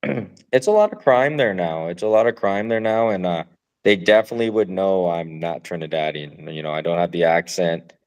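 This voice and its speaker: A man co-hosting a podcast, chatting casually in a relaxed, rambling way, swearing now and then and laughing often. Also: sits low, brisk. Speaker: podcast co-host. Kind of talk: casual chat.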